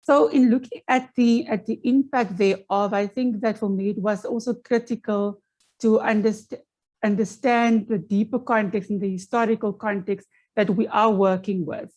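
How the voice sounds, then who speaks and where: A woman's voice: mid-range voice, steady tone, a little mellow, poor audio. Earnest South African woman presenting online. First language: Afrikaans. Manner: earnest, measured, with frequent um fillers and restarts. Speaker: panel presenter. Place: home. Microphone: video call from home.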